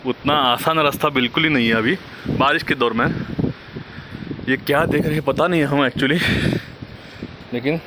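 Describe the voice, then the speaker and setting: A man's voice: medium pitch, moderate pace, long pauses. A young man vlogging as he climbs a misty mountain path, talking carefully and a little nervously to himself. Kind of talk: hiking vlog narration. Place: outdoors on a misty mountain trail. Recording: loud wind noise on the mic. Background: wind.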